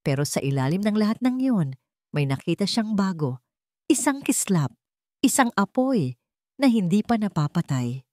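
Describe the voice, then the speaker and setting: A woman's voice: medium pitch, sing-song, moderate pace, pauses often, dark tone, no room sound, clean studio sound. A woman reading a scripted, dramatic story as its narrator.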